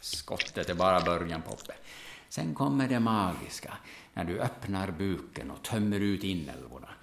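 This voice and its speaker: Lowish actor as gruff male hunter, solemn, instructive, animated intonation, audio drama, echoing room. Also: a little hiss or hum, full character voice. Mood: reverent, hushed. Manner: instructive, brooding, commanding.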